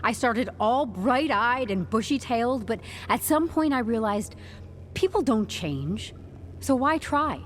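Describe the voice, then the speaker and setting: An actress playing a composed, stubborn HR newcomer in an audio drama, speaking with deadpan corporate politeness and wry candour. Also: female voice, a little high, a little slow, a little hiss or hum. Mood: frank, weary. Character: composed, stubborn young HR newcomer turning interrogation into interview.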